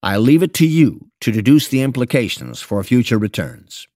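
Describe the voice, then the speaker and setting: A man giving a scripted, audiobook-like narration of a financial memo, with measured, articulate delivery and emphatic phrasing. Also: mid-range voice, very animated, a little mellow. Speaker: narrator reading an investment memo.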